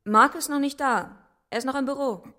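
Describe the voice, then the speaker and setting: A woman's voice: high voice, fairly quick, pushed and bright. An actress playing a casual, offhand young woman in a radio play, speaking in short everyday phrases. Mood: offhand, reluctant. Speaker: radio drama actor.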